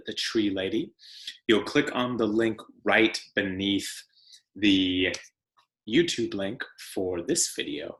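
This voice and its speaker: A man reading a picture book aloud like a teacher, with deliberate, emphatic phrasing and conversational asides for young listeners. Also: fairly low pitch, a bit bright, echoey room, poor audio.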